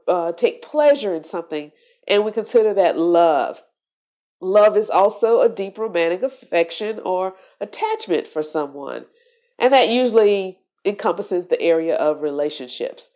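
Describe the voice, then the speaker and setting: A woman hosting a solo podcast, sounding congested and nasal from allergies, talking in a slow, reflective way. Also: medium pitch, a little mellow, highs cut off. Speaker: podcast host.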